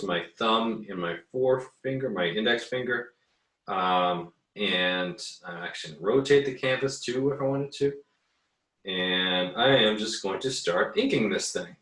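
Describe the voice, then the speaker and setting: A man giving a casual drawing tutorial as an art teacher, thinking aloud in a halting, muttering way while he works. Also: lowish voice, fairly bright tone. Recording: roomy echo, distant microphone.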